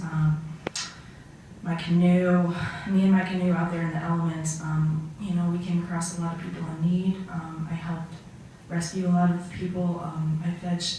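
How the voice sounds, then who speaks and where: In a roomy, distant recording with faint noise, a woman plays a humble man of forty-seven testifying in court. The delivery is low for a woman and halting, with many ums and little melody. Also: mellow, natural voice. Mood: earnest, hesitant. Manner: halting, hesitant, frequent ums and pauses. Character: humble 47-year-old Syrian immigrant man, painting contractor on witness stand. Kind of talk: amateur mock trial testimony. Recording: echoey room, far from mic, faint noise.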